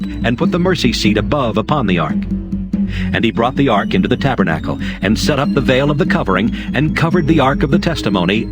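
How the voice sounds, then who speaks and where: A man narrating dramatized scripture in a solemn, formal and measured way. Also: lowish voice. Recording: voice under very loud background music. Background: very loud music.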